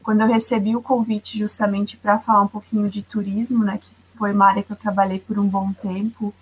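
Husky-voiced woman, flat and measured. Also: mid-range voice, low-quality recording. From Santa Catarina, Brazil. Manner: measured, careful, with many "né" tags. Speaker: guest, publicist. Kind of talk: casual interview talk.

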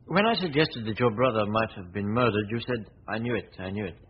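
A man playing a suave, self-assured gentleman detective in 1940s radio drama style, courteous and clipped, with an edge of exasperation. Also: fairly low pitch, animated, a little fast, slight background noise, lightly acted. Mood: measured, probing. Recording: husky, dull old radio recording.

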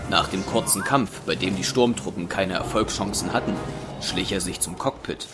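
Low-voiced male storyteller over loud music. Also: normal speed. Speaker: narrator. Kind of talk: scripted narration of an audio drama.

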